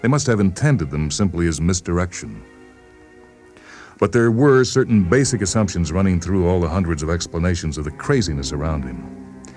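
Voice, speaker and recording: A man narrating a literary short story in a deliberate, brooding way with dramatic pauses. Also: low-pitched, expressive, a little mellow. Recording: music behind the voice. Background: music.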